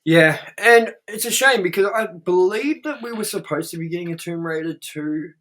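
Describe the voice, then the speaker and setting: A man's voice: high voice, moderate pace, fairly bright tone. Blunt, swearing Australian man. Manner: blunt and profane, rambling with many fillers. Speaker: co-host, movie reviewer. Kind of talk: casual review chat.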